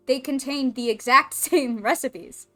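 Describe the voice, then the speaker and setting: A woman voicing a composed female head chef with a put-on French accent, measured and teasing. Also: high voice, pushed and bright, soft background music. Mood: cool.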